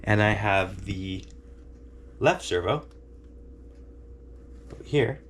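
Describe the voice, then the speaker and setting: A man's voice: on the low side, extremely slow, slight background noise. A man with a cold and a nasal, stuffed-up voice, explaining a setup step by step like a casual tutorial. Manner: step-by-step explaining, often checks in with "okay?". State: congested with a cold. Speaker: hobbyist demonstrating a radio setup. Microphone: camera on a handheld tripod.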